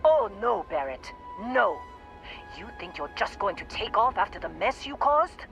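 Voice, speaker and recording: An actress playing a gruff, short-tempered woman mining boss in a video game, blunt and exasperated. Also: mid-range voice, sing-song, measured pace, fairly bright tone, faint music behind, full character voice. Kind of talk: video game cutscene acting.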